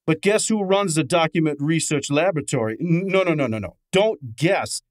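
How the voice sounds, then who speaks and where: A man's voice: fairly high pitch, moderate pace, no echo, clean studio sound, close to an everyday voice. A man playing a brash, wisecracking detective's assistant in a radio drama, breezy and slangy. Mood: eager, teasing. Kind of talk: old-time radio drama acting.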